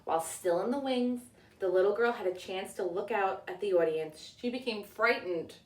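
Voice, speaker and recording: A woman narrating a children's storybook as a teacher reading aloud, with deliberate, expressive delivery. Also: medium pitch, recorded from a distance. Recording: echoey room, away from the mic.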